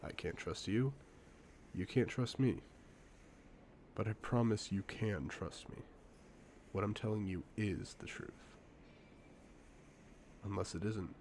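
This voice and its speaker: A man playing a suave, confident secret agent in a spy audio drama, speaking in a playful, theatrical, deliberate way. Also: lowish voice, a little mellow, a little hiss or hum. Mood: playful, cryptic. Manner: smooth-talking, playful, theatrical, deliberate phrasing. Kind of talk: scripted one-sided audio roleplay, spy drama.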